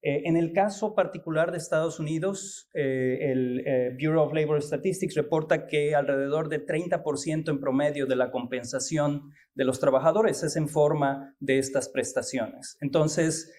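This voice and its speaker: Halting man giving a lecture. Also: on the high side, steady tone, a little mellow. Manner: halting, many fillers and restarts. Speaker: guest lecturer. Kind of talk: academic conference talk.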